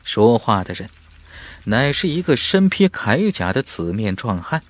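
A man's voice: mid-range voice, sing-song, unhurried, dry recording. A man reading dramatic scripted fantasy narration as an audiobook narrator. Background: faint music.

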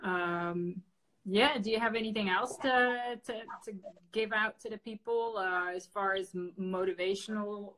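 A chatty woman from the Netherlands, a native Dutch speaker, talking through a webcam on a home video call. Her voice sits at an ordinary middle pitch, and her talk is loose and full of fillers. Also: fairly even intonation, measured pace, a bit bright, rough recording. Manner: chatty, laughs often, many fillers. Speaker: host. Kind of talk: casual livestream interview. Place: bedroom at home, indoors.

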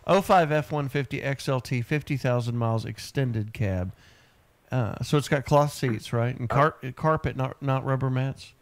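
A man in his fifties hosting a call-in radio show, brash and teasing, talking into a studio mic in a home studio. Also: medium pitch. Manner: brash, teasing, quick asides. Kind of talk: joking radio call-in banter. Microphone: large studio mic on boom arm.

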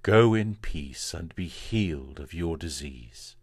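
A man, a priest, reading from the Bible in a solemn, measured and deliberate way. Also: very deep voice, animated, breathy edge, no echo.

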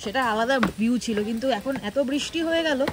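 High-voiced woman vlogging outside on her phone, loud noise behind, laughing at herself being soaked. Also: animated, fast talker. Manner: chatty, self-mocking, talks to camera. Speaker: travel vlogger. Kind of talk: casual travel vlog chat. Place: outdoors, rainy forest trail. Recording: outdoor phone audio with loud background noise.

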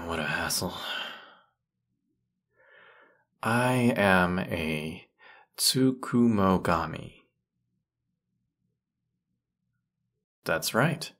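A man's voice: low-pitched, animated, slow, fairly bright tone, heavily acted. A man playing a grumpy, haughty century-old teapot spirit in an audio roleplay, scolding the listener with put-upon sarcasm. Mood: haughty, aggrieved.

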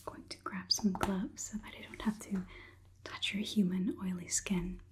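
A young woman in her twenties playing a politely condescending female robot overlord in a slow, measured, breathy ASMR whisper, close to the microphone. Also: medium pitch, little pitch movement, lightly acted. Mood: soothing, clinical. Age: 20s. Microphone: close sensitive microphone.

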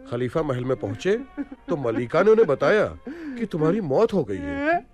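An actor playing a gossipy, solemn palace servant in a radio play. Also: male voice, on the high side, wildly swooping pitch, normal speed, a little mellow, soft background music. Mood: solemn, busybody.

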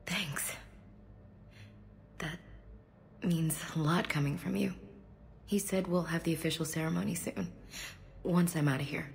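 An American actress playing a young soldier in a video game, subdued and weary, hesitant and understated. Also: female voice, fairly low pitch, fairly even intonation, a little slow, gravelly, long pauses, slight background noise. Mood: subdued, unsure. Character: young female human soldier, subdued, grieving, dutiful. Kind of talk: naturalistic game dialogue acting. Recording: game music behind dialogue.

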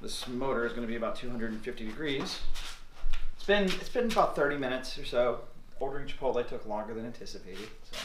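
A man's voice: mid-range voice, animated, fast. A young man hosting a casual workshop how-to vlog, joking offhand and swearing casually as he works on a motor. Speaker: moped mechanic host. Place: large cluttered garage workshop, indoors. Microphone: camera mic from across the room. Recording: echoey workshop, camera far off, background noise.

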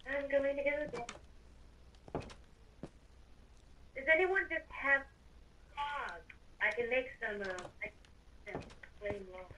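A woman's voice: sits high, unhurried, pushed and bright, slight background noise. A woman playing a breezy, teasing villain housemate in an improvised Minecraft roleplay. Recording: distant home microphone.

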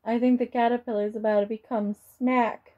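High-voiced young woman reading to children. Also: flat delivery, moderate pace, narrow, tinny audio. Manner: exclaiming, child-directed commentary. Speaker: teacher reading aloud to children. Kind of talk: picture book read-aloud with casual asides.

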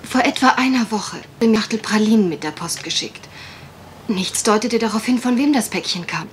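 A woman's voice: mid-range voice, animated, normal speed, slight background noise, close to an everyday voice. A woman acting a genteel, worried young country heiress in a German radio mystery play, polite, well-spoken and a little hesitant. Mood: worried, confiding.

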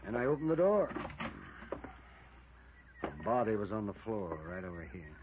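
An actor playing an aggrieved, rattled American cabin owner in a 1950s radio drama. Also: male voice, on the low side, sing-song, normal speed. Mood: matter-of-fact. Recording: muffled, noisy vintage radio recording.

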